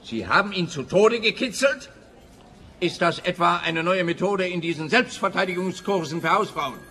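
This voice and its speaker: An actor playing an officious, pedantic, exasperated police officer in a comic radio play, blustering. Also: male voice, high-pitched, animated, moderate pace, sharp tone. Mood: impatient. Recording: roomy long reverb, faint background noise.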